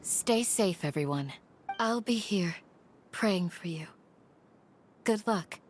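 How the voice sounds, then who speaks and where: A woman playing a calm, caring village guardian in a video game, gentle, earnest and composed. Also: medium pitch, slow pace, background noise. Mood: caring, solemn. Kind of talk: video game character acting.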